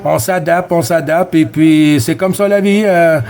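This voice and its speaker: A man with a Quebec French accent chatting casually as a CB radio operator, friendly, with halting phrasing and repeated words. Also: on the high side, fairly even intonation, a little mellow. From Quebec, Canada. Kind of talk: casual radio chat with callsigns.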